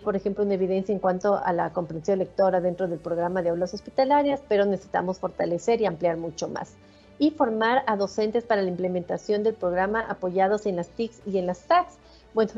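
Middle-aged woman, mellow tone, steady formal presentation, muffled lo-fi audio. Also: mid-range voice. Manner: measured, reads points in order. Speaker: national coordinator of hospital classrooms. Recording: dull lo-fi video call audio.

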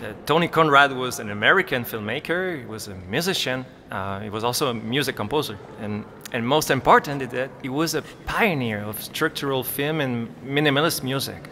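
Male museum guide, bright forward voice, obliging, hesitant informal explanations, audio drama, faint ambient noise. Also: medium pitch, brisk, few pauses. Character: obliging male art museum worker giving a tour. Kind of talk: naturalistic dialogue in an audio drama.

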